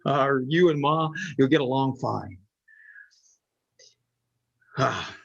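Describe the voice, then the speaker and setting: Guy doing a nervous mama's boy husband in an old radio play, slow stammery talk, bit muffled sound. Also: medium pitch, lively intonation, vocal fry, husky, heavily acted. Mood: eager, excited. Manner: hesitant, stammering, boyish. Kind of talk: old-time radio drama acting.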